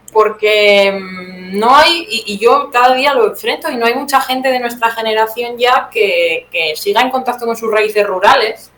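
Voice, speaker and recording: A woman's voice: a little high, a bit bright. A young woman from Teruel with an accent from Spain, answering interview questions conversationally as a guest playwright. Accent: Spanish from Spain. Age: young adult. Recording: roomy echo, clear background noise.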